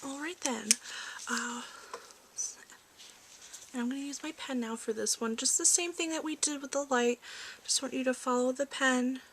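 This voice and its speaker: A woman speaking softly and reassuringly in an ASMR roleplay as a doctor giving a check-up, often slipping into whispers. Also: sits high, normal speed, pauses often, slight background noise. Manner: gentle, hushed, reassuring, dropping into whispers. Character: polite research lab doctor giving a check-up. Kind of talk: soft-spoken ASMR medical exam roleplay.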